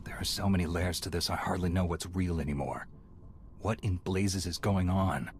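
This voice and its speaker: Deep, steadfast heroic male commander played by an actor. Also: fairly even intonation, normal speed, slight background noise, lightly acted. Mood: troubled. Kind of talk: video game cutscene acting.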